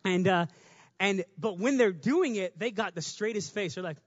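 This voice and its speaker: A male preacher with a high-pitched voice, talking quickly in an easy, conversational way. He builds in volume as his points land. The sound is dry and slightly muffled. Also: animated, no echo. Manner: conversational, fast, builds to shouting. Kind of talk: sermon.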